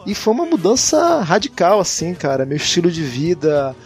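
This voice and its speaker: A man from Manaus hosting a podcast, talking in a relaxed, joking, chatty way. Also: sits high, moderate pace, faint music behind. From Manaus, Brazil. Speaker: podcast host. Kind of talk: casual podcast chat.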